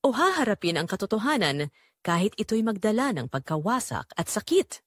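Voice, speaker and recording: A woman's voice: medium pitch, sing-song, fairly quick, no room sound, studio quality. Woman acting anguished mother with dramatic swings. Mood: remorseful. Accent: Filipino. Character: guilt-ridden Filipina mother and wife confessing her betrayal. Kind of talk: dramatic first-person audio drama narration.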